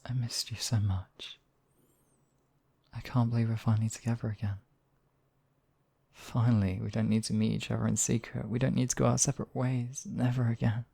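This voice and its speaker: A man performs as a demon king in an intimate audio roleplay. The voice is husky and full of gravelly fry, sitting at a middling pitch. Lines are delivered theatrically and deliberately, with lingering pauses, as a possessive yet devoted lover. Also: little pitch movement, mellow. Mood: soft, loving. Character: demon king, menacing captor act turning tender, devoted lover. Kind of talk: intimate ASMR roleplay acting.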